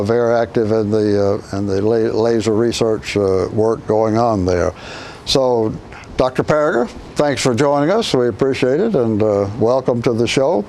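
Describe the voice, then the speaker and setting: A man's voice: medium pitch, darkish tone, a little hiss or hum. A man hosting a talk show, introducing and interviewing a guest in a loose, friendly way and stumbling over words.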